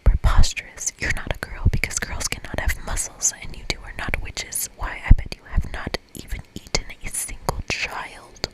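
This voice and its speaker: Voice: moderate pace, hardly any pauses, lightly acted, low-quality recording. A performer voicing a mean, very old forest witch in a whisper, with pompous, scolding exclamations, for an ASMR video game reading. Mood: indignant, scornful.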